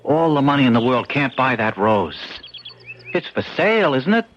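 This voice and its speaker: A blunt, excitable neighbor who turns defensive fast, played by a man. His pitch jumps around a lot, and the sound is dull, like an old radio recording. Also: a little hiss or hum, lightly acted. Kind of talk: 1970s radio drama acting.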